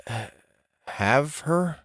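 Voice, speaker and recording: A man's voice: fairly high pitch, animated, drawn-out words, a bit bright, dry recording, studio quality, pitched above the performer's own voice, full character voice. A man voicing a lost, bewildered young man in an audiobook, asking flustered, stammering questions. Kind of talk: audiobook character voice.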